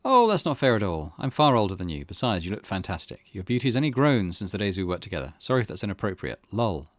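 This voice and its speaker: Man voicing older male womaniser, visual novel, self-pitying, flattering, coaxing, low pitch, very dry. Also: fast, dry recording. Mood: flirtatious.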